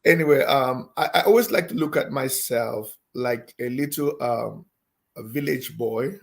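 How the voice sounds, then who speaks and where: A man's voice: mid-range voice, unhurried, creaky. A middle-aged man from Cameroon, a guest in an interview, telling his story with frequent hesitations and ums. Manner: hesitant, many ums and uhs.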